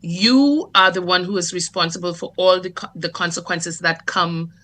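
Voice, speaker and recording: Grenadian woman guest, lower pitch, bright and clear, articulate public-speaking delivery. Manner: articulate, measured, presentational delivery. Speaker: guest, dancer and performer. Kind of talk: interview storytelling.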